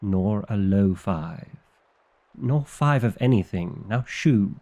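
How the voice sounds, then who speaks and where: A man acts out an exasperated vampire librarian for an ASMR fantasy roleplay. The voice sits on the low side and moves at a very unhurried pace. He sounds officious and easily affronted, with a dry comic edge. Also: expressive, mellow. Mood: annoyed.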